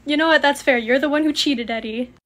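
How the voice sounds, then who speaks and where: A woman's voice: high-pitched, brisk, a bit bright. A young woman reacting casually and playfully, with dramatic exclamations, in a home kitchen. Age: young adult. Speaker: content creator. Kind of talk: casual reacting to a character filter game.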